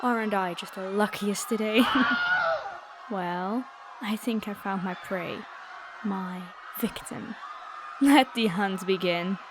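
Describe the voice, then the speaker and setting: A woman's voice: medium pitch, takes it slowly, pauses often, background noise, natural voice. A woman playing a haughty, predatory noble vampire girl in an ASMR roleplay, with a taunting, playful, theatrical delivery. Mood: gloating.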